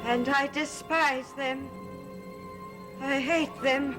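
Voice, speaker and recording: An actress playing an entranced young cult initiate, an obedient and hateful daughter, in a gothic soap opera. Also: female voice, high-pitched, fairly even intonation, very slow, music in the background. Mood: cold hatred.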